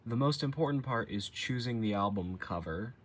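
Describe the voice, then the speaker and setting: A man's voice: mid-range voice, expressive, moderate pace, fairly bright tone. A man giving a casual step-by-step how-to tutorial in an informal, colloquial way, explaining as he demonstrates. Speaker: tutorial presenter.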